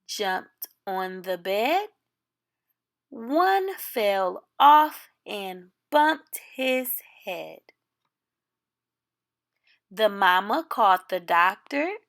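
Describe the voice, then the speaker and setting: Lady reading a kids picture book, super slow n lively, bit creaky, phrasing it for little ones. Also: medium pitch. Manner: expressive, deliberate phrasing for young listeners. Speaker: storytime reader. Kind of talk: children's picture book read aloud.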